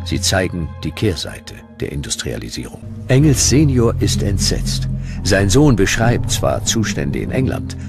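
A man narrating a scripted documentary with dramatic, deliberate storytelling. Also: deep voice.

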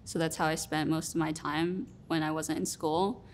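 A young woman in her twenties, answering interview questions in a relaxed, reflective way with many fillers. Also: deep voice, normal speed, a little hiss or hum. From Rhode Island, US. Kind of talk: sit-down interview. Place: studio room with plants.

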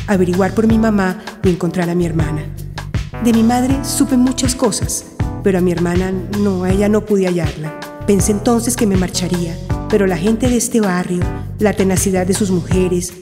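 An actress playing an earnest twenty-two-year-old student with a Colombian accent, narrating reflectively in a radio drama. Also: female voice, medium pitch, lightly acted. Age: young adult. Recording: long hall reverb over guitar.

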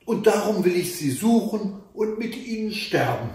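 A man's voice: sits high, big pitch swings, moderate pace, a little mellow, voice pushed up. A man voicing a grieving, devoted young maiden in a fairy tale, earnest and plaintive. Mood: lamenting. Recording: echoey room, mic at a distance.

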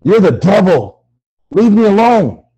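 A man playing an anguished, angry victim tormented since childhood, with a husky voice, in a dramatic scene over a video call. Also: high-pitched, lively intonation, fast talker, mellow, poor audio. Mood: desperate.

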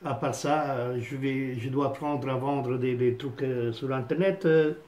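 A man in his fifties with an Italian accent, chatting haltingly to camera from a small home office, with many fillers and restarts. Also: mid-range voice, fairly even intonation, darkish tone. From Italy. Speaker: vlogger. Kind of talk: casual rambling monologue to camera. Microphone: phone camera on a stand at desk.

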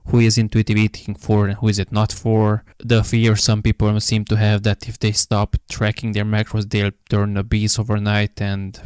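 A man hosting a podcast interview, speaking in a measured way with frequent fillers. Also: lowish voice, completely flat delivery, darkish tone, smooth, no room sound, clean studio sound. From Hungary. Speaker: podcast host.

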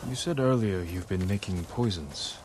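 A man's voice: sits low, normal speed, noisy background, natural voice. A man playing a stern, determined samurai lord in a dramatic video game, speaking in a terse, restrained way. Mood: grim resolve. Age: adult. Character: grave, determined male samurai lord in armour.

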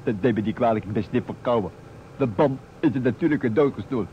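A man's voice: mid-range voice, fairly quick, lightly acted. Man putting on a stuffed-up police doctor. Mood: matter-of-fact. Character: police doctor with a head cold. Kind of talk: Dutch radio play acting. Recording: dull, noisy old radio recording.

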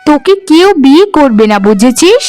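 A woman voicing a scolding, sharp-tongued middle-aged village mother in a cartoon, with a high voice. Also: sing-song, moderate pace. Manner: nagging, scolding. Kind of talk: cartoon voice acting.

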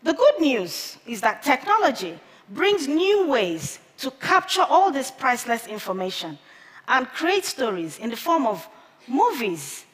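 A woman in her thirties, a native Igbo speaker, giving a prepared talk on stage at a measured pace with careful pauses, on a headset mic. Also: sits high, a bit bright. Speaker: conference speaker. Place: large theater stage with audience. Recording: long hall reverb from a big theater.

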